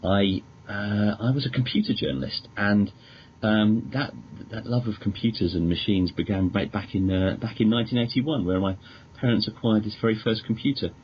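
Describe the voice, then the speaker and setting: A man with a fairly low voice talks as a guest. His chat is polite and somewhat hesitant. The recording is muffled and lo-fi, with faint noise. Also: normal speed. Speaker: podcast guest, magazine editor. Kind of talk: polite casual interview chat. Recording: dull lo-fi recording, background noise.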